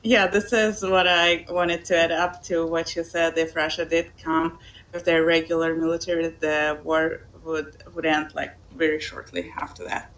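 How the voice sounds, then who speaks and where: Latvian-accented woman explaining calmly. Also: deep voice, normal speed, low-quality recording. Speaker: podcast panelist. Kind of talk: casual discussion.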